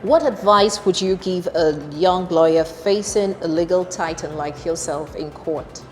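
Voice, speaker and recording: A woman interviews a guest in a polite, deferential way, reading out formal questions. Her voice sits a little low for a woman. Faint music plays behind her. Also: unhurried.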